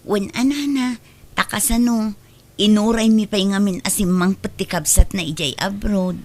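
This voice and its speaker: A woman voicing a defensive, sharp-tongued young woman in an audio drama. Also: mid-range voice, normal speed, no room sound, natural voice. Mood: plain. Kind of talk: Ilocano audio drama acting.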